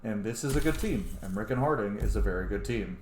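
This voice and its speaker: A man's voice: on the low side, animated, fast. A man in his thirties giving casual, chatty, sweary gaming commentary as a channel host. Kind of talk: casual let's play commentary. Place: small room at home, indoors. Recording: echoey room with faint noise.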